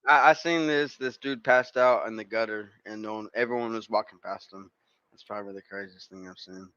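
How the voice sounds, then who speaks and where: A man's voice: on the low side, fairly even intonation, brisk, pushed and bright, clean tone, no room sound, highs cut off. A man from California chatting casually, laid-back and slangy, with sentences that trail off. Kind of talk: casual chat.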